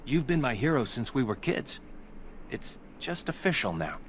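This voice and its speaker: Expressive, earnest young elf man. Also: mid-range voice, moderate pace, slight background noise. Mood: grateful, warm. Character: grateful, earnest young male elf, hopeful cousin. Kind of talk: fantasy video game voice acting.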